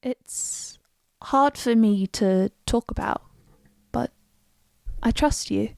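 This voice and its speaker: Woman acting a slow-spoken, wistful warrior woman. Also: on the high side, gravelly, darkish tone, dry recording, studio quality. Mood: guarded, confiding. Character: Nord warrior woman, young, bloodthirsty yet wistful about family. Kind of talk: scripted game dialogue.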